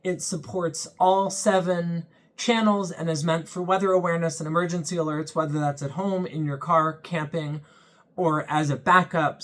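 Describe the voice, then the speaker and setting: A man's voice: on the high side, a bit bright. A young man hosting his own channel, giving a casual, earnest product review in a plain-spoken way with frequent ums. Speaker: channel host reviewing a weather radio.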